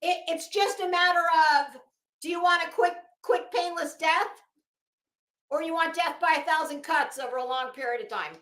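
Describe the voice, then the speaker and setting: A woman's voice: very high-pitched, moderate pace, fairly bright tone. A middle-aged woman giving casual, opinionated commentary in a sassy, sarcastic manner, drawing out words for dramatic emphasis. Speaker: host of an online commentary show. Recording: roomy, echoing sound.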